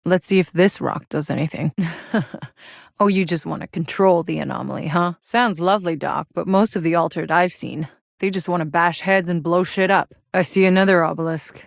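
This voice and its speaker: Casting: tough, wry woman soldier in a sci-fi game, deep register, gruff swagger, close dry studio sound. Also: normal speed, no room sound. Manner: gruff, sardonic, casual swagger. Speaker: video game voice actor. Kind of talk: video game voice lines.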